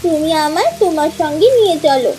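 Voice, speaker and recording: A little talking fish from a cartoon fairy tale. The voice is extremely high and childlike. It pleads and coaxes insistently, over soft music. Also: lively intonation, fast talker. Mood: plaintive, urging.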